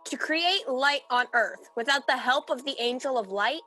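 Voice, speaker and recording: An actress playing a haughty, impatient rebel angel in a radio play, with a sharp, contemptuous way of talking. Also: female voice, high-pitched, expressive, normal speed, exaggerated voice. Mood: bitter. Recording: radio play recorded over video call, music behind.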